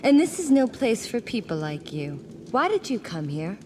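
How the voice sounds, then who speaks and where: A woman's voice: a little high, big pitch swings, moderate pace, darkish tone, slight background noise. An actress playing a blunt young woman medium in a game cutscene, curt and commanding. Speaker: game character.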